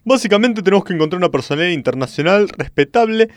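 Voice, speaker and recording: A man playing a brisk, familiar Argentine football club president in a radio comedy. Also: high voice, animated, no room sound. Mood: brisk, negotiating.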